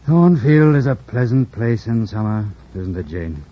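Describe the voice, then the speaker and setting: A man playing a brooding, commanding, passionate gentleman in an old-time radio drama, with theatrical, imperious delivery and a husky voice. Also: lowish voice, sing-song, a little slow. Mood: musing. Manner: theatrical, imperious, swinging from hushed to forceful. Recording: dull, lo-fi old radio recording.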